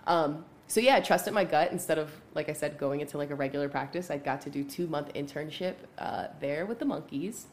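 A woman's voice: low-pitched, a little fast, few pauses, a little hiss or hum. A woman in her thirties, a veterinary nurse guest on a podcast, chatting casually with frequent laughs and fillers. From Pittsburgh area, United States. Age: 30s. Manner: laughs often, many fillers like "um" and "like".

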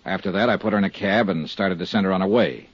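A low, husky radio private eye. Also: male voice, quick pace, no room sound. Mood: matter-of-fact. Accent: American. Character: world-weary American insurance investigator, male, conscientious and candid. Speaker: lead actor and narrator of a detective serial. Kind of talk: 1950s radio detective drama acting. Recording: muffled, dry 1950s radio recording.

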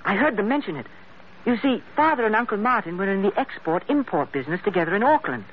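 A man's voice: sits high, big pitch swings, fast. A male actor playing an eager, earnest runaway boy in an old radio drama, polite and hesitant. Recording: tinny, noisy old radio recording.

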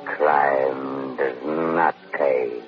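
An actor playing a sinister, gloating avenger in an old radio drama, with ominous, drawn-out speech. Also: male voice, very deep voice, fairly even intonation, exaggerated voice. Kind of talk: 1940s radio acting. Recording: muffled old radio recording with music.

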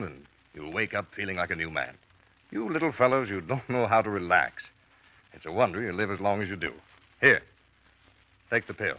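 Bright, gruff fatherly doctor. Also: sits low. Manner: paternal, bossy, gently scolding. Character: brisk, fatherly male plant doctor, gruffly reassuring. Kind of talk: old-time radio drama acting. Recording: noisy vintage radio recording.

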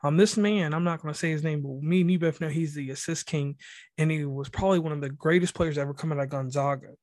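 A man's voice: on the high side, fast, a little mellow, no echo, clean studio sound. A man hosting a casual podcast interview, rambling a little and restarting his sentences. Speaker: podcast host.

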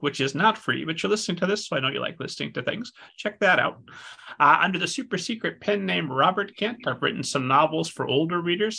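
Raspy bright-voiced male podcast host. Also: on the high side. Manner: playful, mock-formal address to the audience. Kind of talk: upbeat podcast interview.

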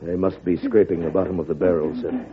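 On a muffled, crackly old radio recording, a man plays an anxious cabinet aide. His voice is fairly low and he talks quickly, fretting respectfully in radio drama fashion. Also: fairly even intonation, lightly acted. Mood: subdued. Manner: worried, deferential, fussing. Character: anxious, fussing Secretary of War, older male aide.

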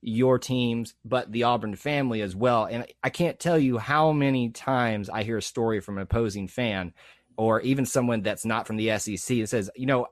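A man's voice: medium pitch, no echo, studio quality. A man interviewing a guest in a casual, appreciative way, often restarting his sentences. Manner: warm, appreciative, halting restarts.

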